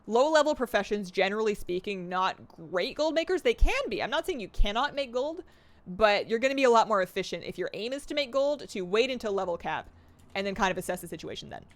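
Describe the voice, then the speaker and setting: A young woman streaming a game live, chatting excitedly and reading her chat aloud. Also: medium pitch, fast talker.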